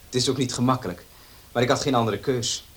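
An actor playing a modest working student, polite and matter-of-fact, in a Dutch radio play. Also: male voice, medium pitch, fast, fairly bright tone, natural voice. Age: young adult.

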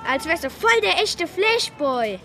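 A performer playing a cheeky, excitable schoolboy in a children's radio play, talking in enthusiastic slang. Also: very high voice, measured pace, some chatter behind, slight background noise, heavily acted. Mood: excited. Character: cheeky, excitable schoolboy, loyal best friend.

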